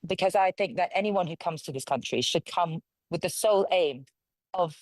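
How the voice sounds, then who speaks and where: A woman's voice: fairly low pitch, normal speed, darkish tone, no echo. A woman from London, a local councillor, giving forthright, conversational answers as an interview guest. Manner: forthright, conversational, speaks her mind.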